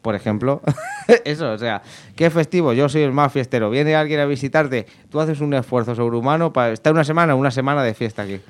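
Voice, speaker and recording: A man's voice: medium pitch, quick pace. Laughing, chatty Spanish man. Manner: jokey, laughs often, restarts a lot. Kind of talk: casual chat.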